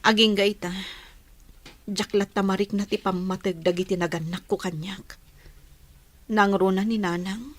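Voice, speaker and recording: A woman's voice: mid-range voice, steady tone, normal speed, pauses often, natural voice. A woman with an Ilocano accent acting in a radio drama as a sorrowful, reproachful wife who longs for love. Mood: sorrowful, pleading. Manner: plaintive, reproachful.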